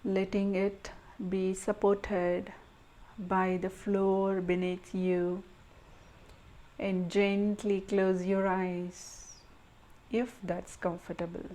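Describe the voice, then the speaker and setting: A woman leading a guided meditation in a gentle, soothing manner, speaking in short measured phrases with pauses between them. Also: medium pitch, flat delivery.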